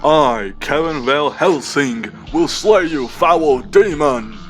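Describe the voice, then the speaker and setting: A man playing a pompous monster hunter in a story reading, declaiming with hammy menace and drawn-out words. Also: a little high, animated, soft background music. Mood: menacing, triumphant. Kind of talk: amateur character voice in a story reading.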